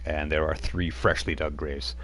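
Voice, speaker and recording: Low-voiced man running a tabletop game. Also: little pitch movement, normal speed, fairly bright tone, a little hiss or hum. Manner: rambling, many fillers and restarts. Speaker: game master.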